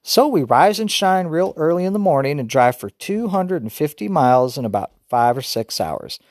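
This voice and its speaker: A man voicing a brisk, organized mother. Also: a little high, very animated, darkish tone, dry recording, clean studio sound, voice pushed up. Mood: planning. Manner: brisk, careful, list-like planning. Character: organized mother reading out a trip plan.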